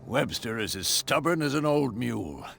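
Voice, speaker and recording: An actor playing a surly, hostile caretaker in a video game, with a gruff, threatening manner and raspy voice. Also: male voice, mid-range voice, expressive, normal speed, a bit bright, faint music behind. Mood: stubborn, guarded. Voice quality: gravelly, raspy. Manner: gruff, threatening, old-fashioned tough slang. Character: surly, hostile male caretaker guarding a burnt mansion. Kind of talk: video game cutscene acting.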